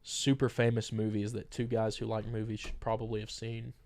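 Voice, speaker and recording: A man's voice: on the low side, completely flat delivery, a little fast, darkish tone. A young man co-hosting a podcast, chatting casually and rambling with lots of fillers, a bit stuffy from a cold. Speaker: podcast co-host. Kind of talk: casual chat.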